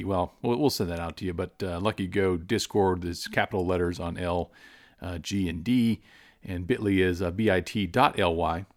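A man speaking as a podcast host. His voice sits a little low, and his casual thank-you talk wanders with many fillers. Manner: rambling, filler-heavy, friendly delivery. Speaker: podcast host and game master.

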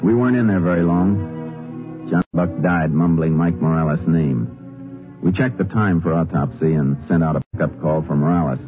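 An American actor playing a calm, authoritative Texas Ranger in an old-time radio western, speaking in a terse, measured way. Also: male voice, low voice, lightly acted. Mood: sober narration. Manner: terse, measured, businesslike questioning. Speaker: radio actor in lead role and narrator. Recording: dull vintage radio recording with music.

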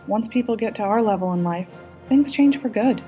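A woman's voice: fairly high pitch, moderate pace, warm tone, faint music behind, natural voice. Woman reading as a tipsy, haughty female prime minister. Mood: wry, impressed. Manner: haughty, loosened by drink.

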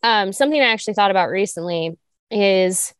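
A young woman co-hosting a talk show, speaking candidly with vocal fry, lots of fillers, and sharp jumps up in pitch when she makes a point. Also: brisk, no room sound, clean studio sound. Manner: animated, restarts and fillers, rises high when emphatic. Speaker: podcast co-host. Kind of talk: candid conversational chat.

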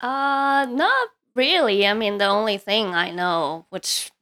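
A woman from Taiwan, a cartoon animator guest, speaking hesitantly with many ums and soft laughs. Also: mid-range voice, animated, slow, smooth. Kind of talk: casual interview chat.

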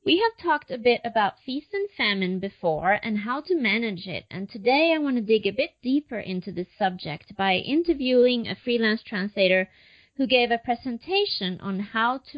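A woman's voice: on the high side, lo-fi sound. A woman hosting a podcast, interviewing a guest in a friendly, chatty way. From United States. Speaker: podcast host.

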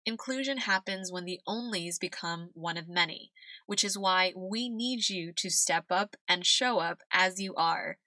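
Bright-voiced woman podcast host. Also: fairly low pitch, no room sound, low-quality recording. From California. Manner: warm, conversational, laughs often. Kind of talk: personal storytelling podcast.

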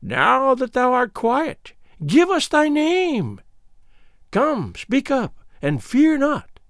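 A man voicing a cruel old woman who speaks in a piping, drawn-out, mocking manner with archaic phrasing. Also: extremely high pitch, huge pitch swings, a bit bright, no room sound, studio quality, voice pushed up, exaggerated voice. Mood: mocking comfort.

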